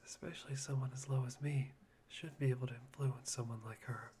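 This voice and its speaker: A man playing an unsettling, self-deprecating young man obsessed with hope, breathy and theatrical in an anime-style ASMR roleplay. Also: mid-range voice, steady tone, brisk, warm tone, close to an everyday voice. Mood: musing. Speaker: voice actor in fan roleplay. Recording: clear background noise, insect ambience.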